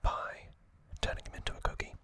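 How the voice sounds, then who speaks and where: A man hosting an ASMR series, narrating trivia in a breathy, unvoiced whisper with deliberate short phrases and pauses.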